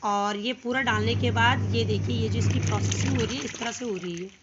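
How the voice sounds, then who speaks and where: A young woman with a North Indian accent and a hoarse voice from a cold, casually explaining a household chore step by step for her home vlog. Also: on the high side, a little fast, highs cut off, very noisy. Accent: Hindi-speaking North Indian. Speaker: home vlogger. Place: home, by a washing machine outdoors.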